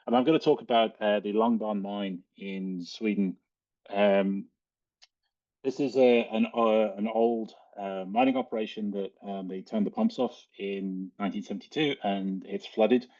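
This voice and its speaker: A man with an Australian accent giving an informal slide presentation in a halting, hesitant way, with frequent ums. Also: on the low side, fairly even intonation, a little mellow. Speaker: guest speaker, cave and mine diver. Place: online video call. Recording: dull, lo-fi online call audio.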